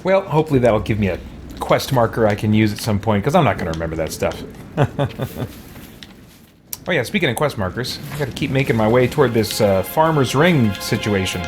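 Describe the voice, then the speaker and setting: A man's voice: medium pitch, animated, brisk, music in the background. A man doing casual, conversational live commentary as he streams a video game. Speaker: let's play streamer.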